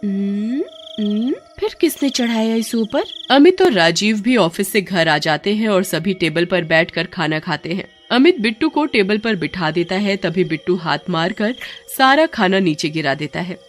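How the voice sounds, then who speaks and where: A woman's voice: fairly low pitch, animated, moderate pace, hardly any pauses. A woman narrating a scripted story expressively, as a storyteller.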